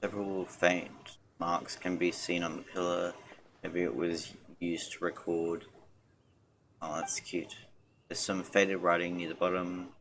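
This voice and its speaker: A man streaming a game, reading its text aloud in a low-key, halting way. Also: little pitch movement, background noise. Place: livestream with game music behind.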